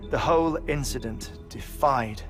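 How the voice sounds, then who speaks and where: A man playing a weary, earnest middle-aged scientist in a cinematic video game, explaining in a measured, thoughtful way with small pauses. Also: mid-range voice, a bit bright, lightly acted. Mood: measured, reflective. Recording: music playing behind the voice.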